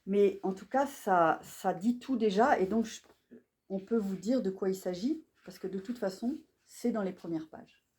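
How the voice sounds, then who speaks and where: A woman's voice: medium pitch, moderate pace, darkish tone. A woman hosting a live author event in a bookshop, presenting a novel with enthusiastic, admiring remarks. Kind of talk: live book event introduction.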